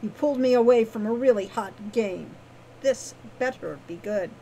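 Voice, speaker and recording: A woman voicing an irritable, sarcastic male thief in a storybook tale, scoffing and deadpan. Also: a little high, moderate pace, natural voice. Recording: clearly noisy background.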